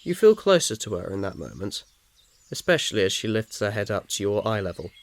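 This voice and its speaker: Male narrator, low pitch, soothing measured story reading, faint nature ambience with birds and insects. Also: big pitch swings, a little hiss or hum. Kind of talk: scripted second-person ASMR story narration.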